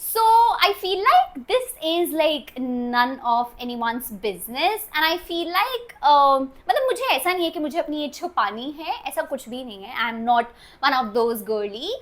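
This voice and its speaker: A woman's voice: high voice, big pitch swings, fast talker, fairly bright tone. A woman in her twenties with an Indian English accent, chatting casually in a sit-down Q&A vlog and often slipping into Hindi. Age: 20s. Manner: chatty, heavy use of "like", switches between English and Hindi. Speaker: YouTuber.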